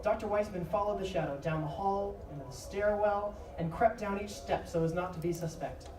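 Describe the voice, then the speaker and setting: A woman narrates a scripted drama in a mid-range voice. She is heard from a distance in an echoey space, with clear noise around her. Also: medium pitch, fairly quick. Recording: echoey live stage, distant noisy mic.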